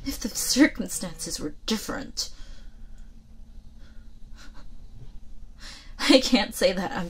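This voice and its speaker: A woman playing a proud, sardonic captive royal in a roleplay audio drama, breathy and theatrical, with a taunting manner. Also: on the high side, exaggerated voice. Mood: bitter sarcasm. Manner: haughty, taunting, theatrical, deliberate.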